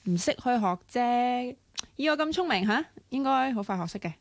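A woman's voice: on the high side, lively intonation, moderate pace, gravelly, dry recording. An actress playing a cheeky, grumbling young woman in a radio drama, with a Hong Kong Cantonese accent. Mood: playful. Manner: teasing, petulant.

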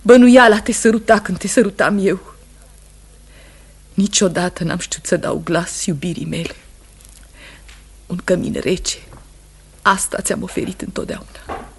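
A woman's voice: mid-range voice, steady tone, long pauses, husky. An actress playing a sorrowful, steadfast wife of a condemned man, solemn and intense in radio theatre style. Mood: tender, pained. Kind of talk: Romanian radio theatre acting.

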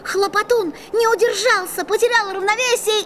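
A woman narrating a children's fairy-tale audio play in an expressive storybook style. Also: extremely high pitch, moderate pace, slight background noise.

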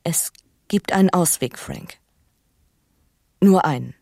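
An actress playing a bitter, passionate, scheming young wife in a naturalistic radio drama, speaking with urgent intimacy and slipping into whispers. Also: female voice, fairly low pitch, slow pace, gravelly, mellow, dry recording, clean studio sound, full character voice. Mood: brooding, coaxing.

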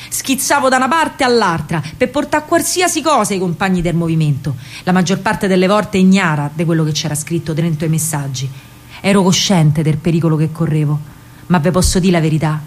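A woman's voice: medium pitch, natural voice. An actress playing a spirited young working-class Roman woman, a wartime partisan courier, telling her story in an earthy, vivid dramatic monologue with a Roman accent. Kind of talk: dramatic first-person monologue in Roman vernacular.

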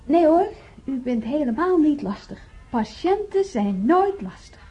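Lively, high-voiced young nurse. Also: female voice, unhurried, muffled recording, soft background music. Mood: reassuring, patient. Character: cheerful, patient young female nurse on a hospital ward. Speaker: actress in a radio serial. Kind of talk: naturalistic radio play acting.

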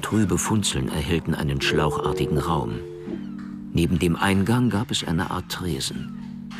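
A man narrating a scripted crime radio play in a measured, composed, literary way. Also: low voice, wildly swooping pitch, loud music behind the voice.

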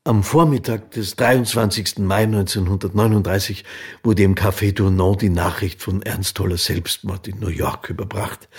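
Very husky, low chronicler. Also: male voice, a little mellow, heavily acted. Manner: sober, factual. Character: sober male documentary chronicler. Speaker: narrator. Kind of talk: scripted radio drama narration.

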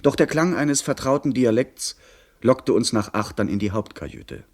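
An actor playing a young gentleman who tells his pirate adventure in a radio play, composed and literary. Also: mid-range voice, moderate pace, lightly acted. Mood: composed narration.

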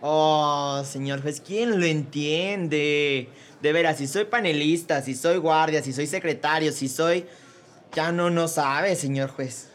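A man's voice: fairly high pitch, slow pace. A man with a Mexican accent voicing a lazy, sarcastic court secretary in a comedy radio drama. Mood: cheeky. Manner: sarcastic and grumbling.

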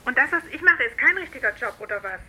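Brisk, pushy young sister, piercing high voice, radio drama phone call. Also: female voice, animated, bright, forceful tone, slightly rough, heavily acted. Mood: offended. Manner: brisk, nagging, persuasive. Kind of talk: naturalistic radio drama acting.

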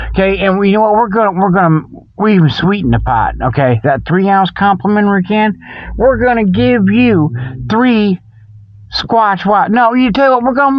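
High-pitched man rambling through comic radio patter. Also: no echo. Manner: scatterbrained, loses his thread, chuckles at his own jokes; folksy, colloquial, checks in with "okay". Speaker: host of a comedy paranormal radio show. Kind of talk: rambling comic monologue with mock sales pitches. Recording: dull lo-fi radio sound.

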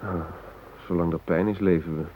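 An actor playing an anxious but resigned hostage in a radio drama, reflective and hesitant, with wry fatalism. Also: male voice, low-pitched, steady tone, a little fast, lightly acted. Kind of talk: naturalistic radio drama acting. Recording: noisy, narrow-band old radio recording.

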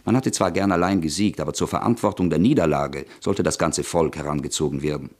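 A man narrating a historical radio play in an even, documentary tone. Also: extremely low pitch, brisk.

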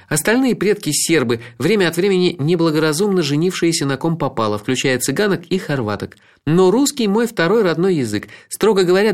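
Brief: a male reader putting on a high, lively woman's voice, teasing and battle-worn, for an audiobook. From Croatia. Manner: teasing, matter-of-fact, stoic. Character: wry red-haired woman, warm but battle-worn. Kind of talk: audiobook character voice.

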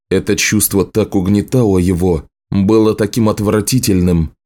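A man reading a novel aloud as an audiobook narrator, in a measured, serious storytelling manner. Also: fairly low pitch, darkish tone. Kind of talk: scripted literary narration.

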